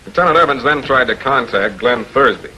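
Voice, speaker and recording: An actor playing a cool, authoritative public prosecutor in a 1940s American TV crime drama, measured, probing and businesslike. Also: male voice, medium pitch, expressive, sharp tone. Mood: narrating. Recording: dull, noisy 1940s film soundtrack.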